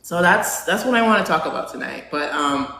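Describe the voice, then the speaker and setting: A non-binary host in their forties chatting casually with a live audience, asking them questions and filling pauses with "um". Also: mid-range voice, expressive, creaky, pushed and bright. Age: 40s. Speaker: live talk show host. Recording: roomy echo, faint background hum.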